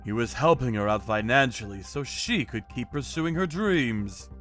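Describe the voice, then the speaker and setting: A man giving dramatic first-person narration as a calm, righteous, menacing vigilante in an animated manga story. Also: mid-range voice, moderate pace, soft background music. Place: studio voice-over with music behind.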